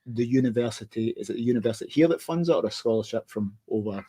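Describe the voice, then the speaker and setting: A man's voice: mid-range voice, animated, fast, warm tone, husky. A Scottish man hosting a podcast interview, joking and teasing his guest between questions. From Scotland. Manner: joking, self-deprecating banter with fillers. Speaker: podcast host. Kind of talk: casual interview chat.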